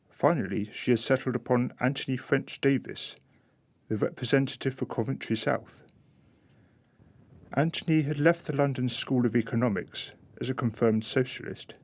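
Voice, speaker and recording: A man narrating a scripted radio play in a measured storytelling manner. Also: medium pitch, no echo.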